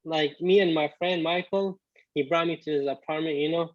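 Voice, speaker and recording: A man in his twenties, born in Iraq with Arabic as his first language, telling his story as a guest in a casual interview, halting with many restarts. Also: on the high side, fairly even intonation, no echo, dull audio. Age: 20s. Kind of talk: casual video-call interview.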